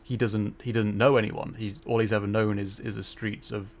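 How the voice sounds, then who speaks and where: Low-voiced man streaming, halting and musing. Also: level tone. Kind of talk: casual livestream chat while gaming. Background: game music.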